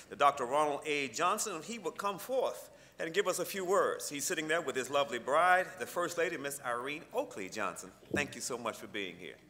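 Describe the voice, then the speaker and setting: A man's voice: mid-range voice, bright, forceful tone. A man leading a church service, rousing the congregation and making announcements from the pulpit. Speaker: worship leader. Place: large church sanctuary. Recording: noisy, echoey church hall.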